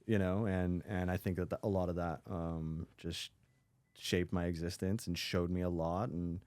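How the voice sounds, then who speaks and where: A man's voice: deep voice, level tone, moderate pace, a little mellow, no room sound. A young man from Israel, a podcast guest, chatting casually and rambling with lots of "like" and "you know". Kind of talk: casual chat.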